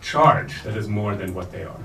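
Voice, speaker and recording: Man, audience questioner. Reflective and deliberate, with a fry-heavy voice, heard off-mic in a roomy space. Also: lowish voice. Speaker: audience member asking a question. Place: bookstore event room. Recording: echoey room, distant mic, faint noise.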